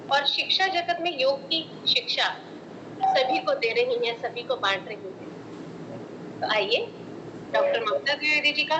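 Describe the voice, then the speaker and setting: A woman hosting an online event, opening it and introducing the guest in a formal, measured way. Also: high voice, highs cut off, noisy background.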